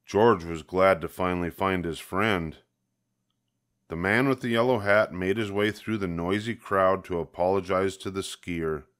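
A man's voice: sits low, a little slow, a bit bright. An older man reading a children's picture book aloud, expressively, leaning on key words. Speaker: storybook reader.

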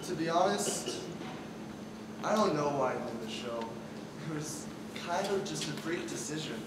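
A teenage boy acting a hesitant, awkward high school student in a stage play. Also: expressive, normal speed, natural voice. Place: large school theatre stage, far camera. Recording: distant echoey auditorium, loud noise.

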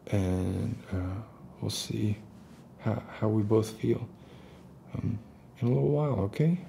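Low, gravelly, rambling survivor played by a man. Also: a little hiss or hum. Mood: weary, reassuring. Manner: halting, rambling, soft-spoken coaxing. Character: unstable male post-apocalyptic survivor, gently coddling his captive. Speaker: actor in ASMR roleplay. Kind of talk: ASMR roleplay.

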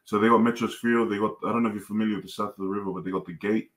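A man in his thirties from Tonga with a mixed Pacific Island and Australian accent, telling his life story as a podcast guest in a relaxed, laughing way over a video call. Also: on the low side, racing. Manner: laid-back storytelling with frequent laughter. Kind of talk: casual chat. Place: home room.

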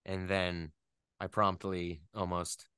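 A man's voice: deep voice, flat delivery, slow pace, pushed and bright, clean tone, no echo, studio quality. A man, a voice actor, chatting casually as an interview guest, telling stories in a joking, self-deprecating way.

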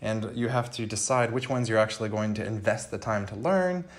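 A man's voice: fairly low pitch, a little fast. A young man with a cold, a magician chatting casually and joking dryly about himself while reacting to a video, recorded indoors on an earbud. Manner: dry self-mocking humor. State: has a cold.

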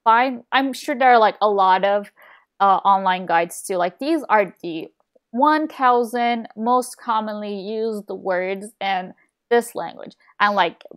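Chatty young woman streamer from Turkey. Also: mid-range voice. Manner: rambling, many fillers and restarts. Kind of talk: casual chat while gaming. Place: small home room, indoors.

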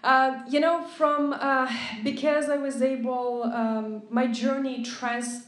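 A woman in her thirties from Lithuania with a Lithuanian accent, a motivational speaker telling her story earnestly to a group, with many fillers and soft laughs. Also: fairly high pitch. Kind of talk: inspirational talk to a group. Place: meeting room at a friend's house. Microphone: phone voice recorder app. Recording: echoey room on a phone recorder.

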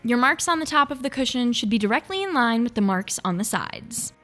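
Craft tutorial host: young female, fairly high pitch, scripted instructional read over background music. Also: expressive, fairly quick, fairly bright tone. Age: young adult.

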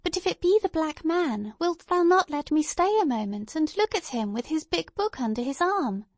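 A woman voicing a lively, curious, prattling little girl in a storybook, airy and full of questions and exclamations. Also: high voice, moderate pace, no room sound, voice pushed up. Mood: eager, curious.

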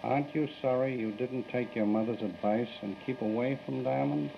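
A gravelly, medium-pitched man interviews in a formal, stilted way. He is heard on an old 1930s newsreel track, muffled and faintly noisy. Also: normal speed.

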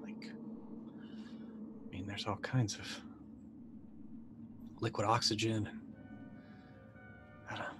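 A man's voice: fairly low pitch, flat delivery, takes it slowly, long pauses. A middle-aged man playing a role-playing game, casually thinking aloud as he decides what his character does. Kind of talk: casual improvised game play. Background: faint background music.

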